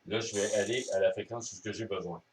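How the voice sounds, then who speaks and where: Low-voiced Quebec man, roomy and distant. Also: steady tone, fast talker, a little hiss or hum. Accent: Quebec French. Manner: explanatory, step by step. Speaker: amateur radio operator. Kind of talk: casual hands-on demonstration. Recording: roomy echo, distant microphone.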